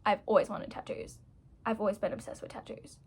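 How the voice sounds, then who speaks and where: A woman's voice: medium pitch, little pitch movement, brisk, darkish tone, husky. A young woman turning twenty-one, chatting casually to camera while doing her makeup, rambling, self-mocking and full of "like"s. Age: young adult. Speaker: vlogger.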